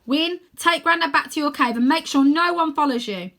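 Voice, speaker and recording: A woman's voice: high-pitched, normal speed, sharp tone, voice pushed up. A woman voicing a bold, defiant girl dragon rider in a storybook, speaking in firm, commanding declarations.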